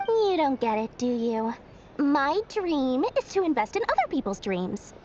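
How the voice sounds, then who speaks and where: A woman's voice: high voice, very animated, darkish tone, lo-fi sound, a little hiss or hum. An actress voicing a brash, sassy young female tycoon in a video game, theatrical and money-loving but secretly kind-hearted. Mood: proud, gleeful. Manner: theatrical, sassy, quick to bluster.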